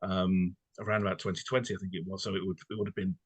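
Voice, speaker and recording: A man's voice: low-pitched, flat delivery, fast, breathy edge, no echo. A man hosting a conversational interview, hesitant and roundabout, often repeating words as he forms a question. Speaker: podcast host, interviewer.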